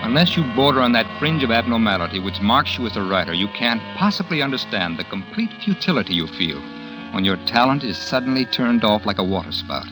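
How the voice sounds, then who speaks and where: A man's voice: lowish voice, big pitch swings, fairly quick, poor audio. A cynical, arrogant novelist with a brooding tone tells his own story. His voice rises and falls freely over a music bed. It sounds like an old radio thriller from the 1940s. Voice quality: brooding, self-absorbed narration. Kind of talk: 1940s radio drama acting.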